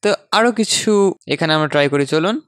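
Higher-voiced young man presenting a tutorial. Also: lively intonation, normal speed, fairly bright tone, no room sound, clean studio sound. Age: 20s. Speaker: tech tutorial host. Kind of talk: scripted tutorial narration to viewers. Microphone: close studio boom microphone. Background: faint background music.

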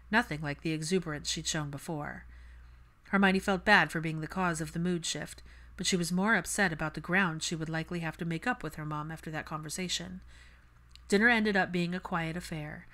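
A woman's voice: deep voice, a bit bright. A woman giving a scripted audiobook narration of fan fiction.

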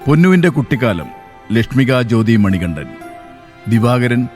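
A man reading the closing credits of a radio drama in a measured, scripted way. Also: mid-range voice, sing-song, faint music behind. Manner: slow, measured. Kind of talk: scripted announcement.

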